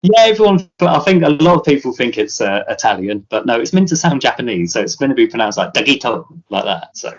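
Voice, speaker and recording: A man's voice: mid-range voice, big pitch swings, fairly quick, hardly any pauses. British guy rambling about his music, lots of ums, kinda muffled lo-fi audio. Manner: rambling, many fillers and restarts. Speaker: musician guest. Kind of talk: casual interview chat.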